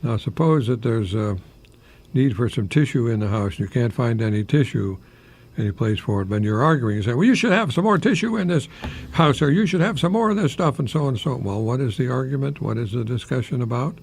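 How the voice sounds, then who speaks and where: An older man hosting a radio monologue, speaking haltingly in a whimsical, self-deprecating way with a husky, gravelly voice. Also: mid-range voice, darkish tone. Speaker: radio host, retired professor. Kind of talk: rambling humorous radio monologue with poetry readings.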